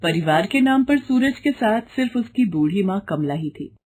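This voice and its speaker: A woman's voice: mid-range voice, lively intonation, normal speed, a little mellow. A woman reading scripted fairy-tale narration as a storyteller.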